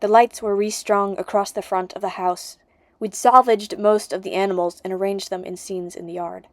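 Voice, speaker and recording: A woman's voice: mid-range voice, fairly even intonation, normal speed, dry recording. A woman narrating a first-person story as an amateur audiobook reader.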